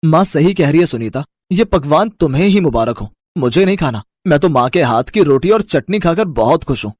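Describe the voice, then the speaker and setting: Man playing an exasperated husband, animated pitch. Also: brisk, dry recording. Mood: firm refusal. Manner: reproachful. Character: exasperated, principled hardworking young husband. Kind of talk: cartoon moral story.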